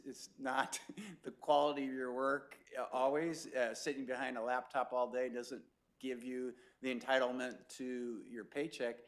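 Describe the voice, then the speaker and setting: A middle-aged man speaks to residents in a council chamber. His talk is loose and conversational, with "um"s and the odd short laugh. His pitch is mid-range for a man. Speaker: city manager candidate. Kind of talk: public meeting introduction.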